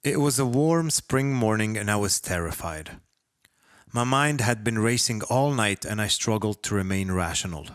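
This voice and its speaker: A man from Lebanon reading his own short story as a first-person narrator, with expressive, dramatic delivery. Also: fairly low pitch, moderate pace, dry recording, clean studio sound.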